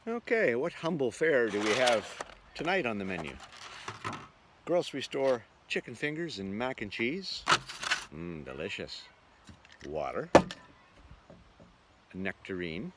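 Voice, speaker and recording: A man's voice: medium pitch, very animated, a little slow, long pauses. A man talking casually to camera as a travel vlogger, recorded outdoors. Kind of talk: casual vlog narration to camera. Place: outdoors on a camping tour. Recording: noisy outdoor ambience.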